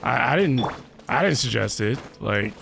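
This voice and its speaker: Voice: medium pitch, expressive, normal speed, creaky, a bit bright. A livestreamer reacting casually to a game, with hesitant, wry asides. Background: game music.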